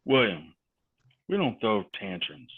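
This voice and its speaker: A man's voice: on the low side, very animated, takes it slowly, exaggerated voice. A man reading the part of a harsh, scolding father in a table read over a video call. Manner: gruff, scolding. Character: stern harsh father of a teenage boy. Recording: tinny lo-fi video call audio.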